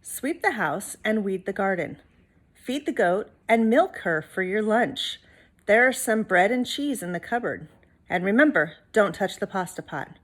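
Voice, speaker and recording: A woman's voice: medium pitch, animated, unhurried. A woman reading a storybook as a stern old grandma witch who gives firm instructions and chants in a singsong way. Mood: firm, warning.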